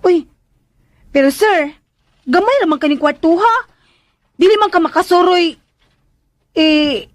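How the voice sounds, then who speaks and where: Cheeky young nursemaid, piercingly high pitch, chatty, blurting, pleading, radio drama, dry sound. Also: female voice, unhurried, pauses often, no room sound. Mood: nervous coaxing. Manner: blurting, hesitant, chatty back-talk. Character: cheeky, plain-spoken, pleading young female caretaker.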